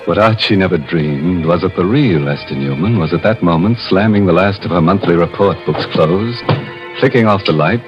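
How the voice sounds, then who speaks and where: An actor playing an ominous, macabre storyteller in a 1950s radio horror play, dramatic and deliberate. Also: male voice, low-pitched, lightly acted. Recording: muffled old radio, music underneath.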